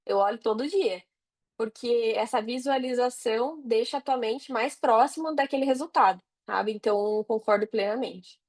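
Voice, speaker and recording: A woman with a fairly high voice and a Brazilian accent speaks as a podcast guest. She gives casual advice, informal and full of personal anecdote. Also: moderate pace. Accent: Brazilian Portuguese.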